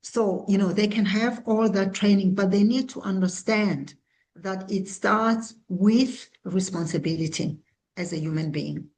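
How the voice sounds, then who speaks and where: A woman in her sixties from South Africa, a founder answering interview questions in an earnest, measured way. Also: medium pitch, darkish tone. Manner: earnest, measured, with small restarts. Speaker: guest, founder and CEO.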